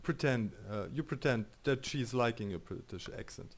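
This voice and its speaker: A man's voice: medium pitch, normal speed. Joking German-accented man streaming a game. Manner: joking, reads choices aloud, thinks out loud. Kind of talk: casual let's-play commentary.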